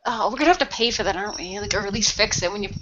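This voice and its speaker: A woman's voice: medium pitch, very fast, muffled recording, background noise. A woman improvising casual, slangy, sarcastic banter as a player in a radio role play.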